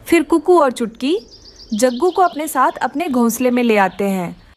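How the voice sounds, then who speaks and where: A woman's voice: high voice, animated, moderate pace. A woman narrating a scripted children's cartoon story.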